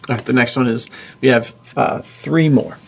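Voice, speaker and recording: A man hosting a podcast in casual chat, talking in an informal, enthusiastic, emphatic way with a husky, gravelly voice. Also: mid-range voice, moderate pace, a little hiss or hum. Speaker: podcast host, author and publisher.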